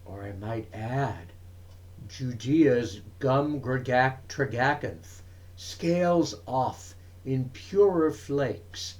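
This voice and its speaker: Very slow, deliberate man reading poetry. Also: mid-range voice, darkish tone. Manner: measured, deliberate phrasing with pauses. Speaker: reader of poetry.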